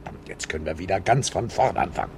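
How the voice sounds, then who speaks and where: An exasperated police inspector in a radio crime play. His voice sits fairly low and he scolds with biting sarcasm. There is some noise in the 1970s recording. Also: animated, fast talker. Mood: frustrated, brooding. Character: exasperated, sarcastic male police inspector, blustering and sharp-tongued. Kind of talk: German radio crime drama acting.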